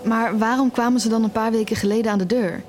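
An actress playing an anxious, questioning young student in an audio drama. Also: female voice, on the high side, fairly even intonation, quick pace, background noise, natural voice. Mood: puzzled, probing. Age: young adult.

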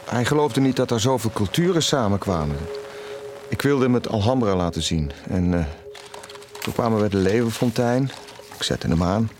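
A man acting as a caring, confessional middle-aged father in an audio drama, speaking intimately and haltingly. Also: lowish voice, over music, close to an everyday voice. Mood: anguished, recounting. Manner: halting, repeats words when emotional.

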